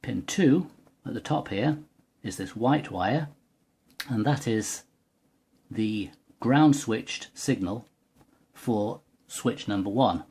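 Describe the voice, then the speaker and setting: A man's voice: medium pitch, takes it slowly, pauses often, darkish tone, husky. A man giving a methodical, precise step-by-step technical demonstration as a tutorial presenter. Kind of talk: step-by-step hands-on product demonstration.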